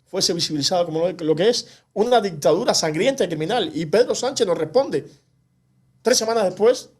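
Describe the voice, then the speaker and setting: A man's voice: high-pitched, expressive, breathy edge. A man speaking passionately and indignantly as an activist guest in a TV interview. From Cuba. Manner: passionate, indignant, rapid bursts. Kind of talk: animated TV interview.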